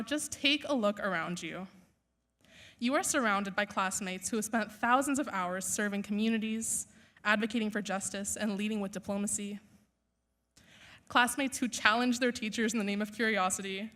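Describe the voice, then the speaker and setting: A young woman whose first language is Urdu speaks at a podium mic on a school stage. Her delivery is earnest and measured, and she builds her points through rhetorical lists. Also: medium pitch, fairly bright tone. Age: young adult. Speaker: valedictorian. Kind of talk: formal graduation speech.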